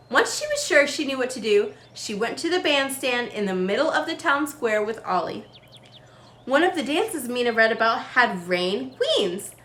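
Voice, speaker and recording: A woman storyteller reading a children's book aloud in an expressive style, leaning on key words. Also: on the high side, echoey room. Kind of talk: animated children's story narration.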